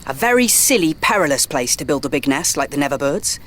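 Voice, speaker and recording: An actor playing a confident, boastful boy leader, telling tales briskly in an audio drama. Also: medium pitch, big pitch swings, a bit bright, slight background noise. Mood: storytelling.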